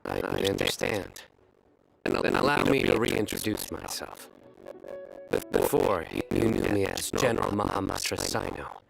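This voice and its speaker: Very deep deadpan young adventurer. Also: male voice, vocal fry, exaggerated voice, low-quality recording. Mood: earnest deadpan. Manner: deadpan, deliberate, pedantic. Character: solemn young male adventurer who tells bad jokes earnestly. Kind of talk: anime-style video game voice acting. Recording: faint music behind.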